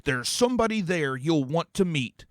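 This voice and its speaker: A man voicing a theatrical, greedy male demon that talks in grandiose, scornful tones, with a strained, drawn-out delivery. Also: on the high side, big pitch swings, dry recording, studio quality, pitched above the performer's own voice.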